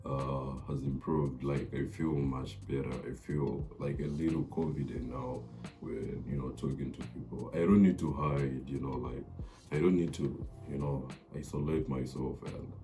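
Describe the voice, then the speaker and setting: A man's voice: a bass voice, fairly even intonation, moderate pace, over music. A young man talking earnestly to camera, hesitant and full of fillers and restarts. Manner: earnest, confiding. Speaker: vlogger. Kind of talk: personal talk to camera.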